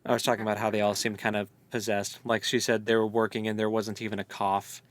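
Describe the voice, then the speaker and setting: A man's voice: lowish voice, level tone, fast talker. A man co-hosting a casual book discussion, speculating in loose, colloquial language. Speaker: co-host of a book read-along podcast.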